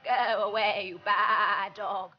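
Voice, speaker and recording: A woman's voice: mid-range voice, steady tone, takes it slowly, muffled recording, faint music behind, close to an everyday voice. A woman voicing grumpy farm animals in a children's storybook, scolding and dismissive. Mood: indignant.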